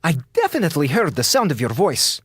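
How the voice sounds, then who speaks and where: An actor in a radio drama playing a menacing, coldly patient space station doctor, formal, deliberate and condescending. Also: male voice, fairly high pitch, huge pitch swings, a bit bright, slightly rough, no room sound, studio quality, heavily acted. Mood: suspicious, sardonic.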